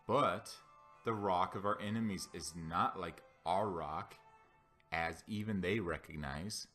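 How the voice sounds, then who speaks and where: A man's voice: deep voice, takes it slowly, a bit bright, faint music behind. A man gives a dramatic, solemn and declamatory reading of scripture. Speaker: scripture reader.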